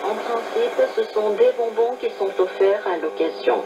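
A woman's voice: medium pitch, normal speed, highs cut off, noisy background. A woman talking on the radio, heard through a shortwave receiver. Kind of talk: radio talk. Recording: shortwave radio played into room, music behind.

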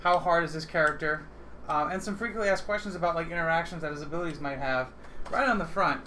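A man's voice: fairly high pitch, quick pace. A man hosting a casual board game livestream in an informal, enthusiastic way. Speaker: livestream host. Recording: distant mic, clearly noisy livestream audio.

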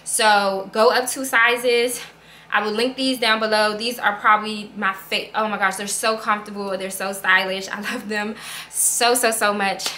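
A woman's voice: mid-range voice, steady tone, normal speed, pushed and bright, slight background noise. A woman in her thirties doing a casual clothing haul to camera at home, chatty and upbeat, calling viewers y'all and sis. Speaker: fashion vlogger. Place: living room with plants, indoors. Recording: echoey room.

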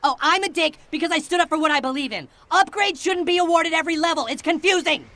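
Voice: extremely high pitch, quick pace, bright, forceful tone, no room sound, full character voice. A voice actor playing a defensive, stubborn cartoon boy, over game music.

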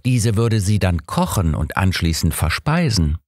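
A man's voice: low voice, big pitch swings, measured pace, no echo, clean studio sound, exaggerated voice. A man voicing a cruel, vengeful grown son who taunts his victim in an audiobook. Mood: cruel, menacing. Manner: taunting, menacing.

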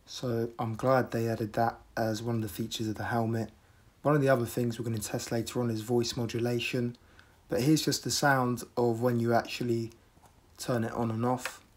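Flat-toned man doing a casual review. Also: mid-range voice, moderate pace. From United Kingdom. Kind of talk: casual product review narration.

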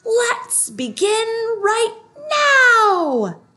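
A woman voicing menacing, hungry talking tigers in a children's storybook, with taunting, emphatic, drawn-out words. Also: very high voice, sing-song, pushed and bright, pitched above the performer's own voice, full character voice. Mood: roaring. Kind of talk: children's storybook read-aloud.

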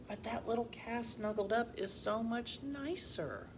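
Woman acting a gentle mother hen, storybook character, wistful, easily upset, plaintive sighs, swooping pitch, slight background noise. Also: animated, unhurried. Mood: sad, wistful. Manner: plaintive, sighing.

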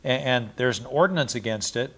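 A man's voice: medium pitch, brisk, a bit bright, slightly rough. A middle-aged man giving a verse-by-verse Bible study, explaining and repeating Greek words, seated in a small studio with a lapel mic. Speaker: Bible teacher.

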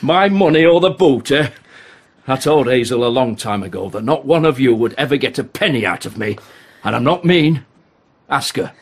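A man's voice: mid-range voice, animated, normal speed, breathy edge. Blunt, bitter self-made man Ernest. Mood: defiant. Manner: blunt, scornful, menacing. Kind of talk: radio drama acting.

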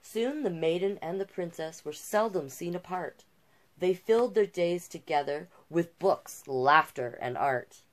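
A middle-aged woman reading a children's picture book aloud with expressive delivery and casual asides. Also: fairly low pitch. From Canada. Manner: unhurried, expressive storybook delivery. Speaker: storytime host and drag king. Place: small cluttered room indoors.

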